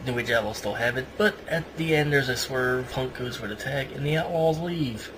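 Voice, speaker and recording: A man's voice: medium pitch, moderate pace, a loud crowd, rough recording. A man hosting a podcast, giving a casual recap of a show with banter. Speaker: podcast co-host.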